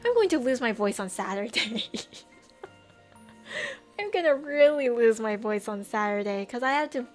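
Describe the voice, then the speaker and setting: A woman's voice: fairly high pitch, expressive, normal speed. A woman streamer chatting casually with viewers, rambling, trailing off and laughing often, her voice a little tired. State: voice getting tired. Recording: faint music behind.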